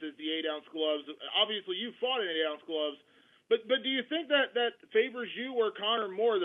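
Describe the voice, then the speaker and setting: A male reporter asking rambling questions on a press conference call. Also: a little high. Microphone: telephone. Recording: tinny phone line.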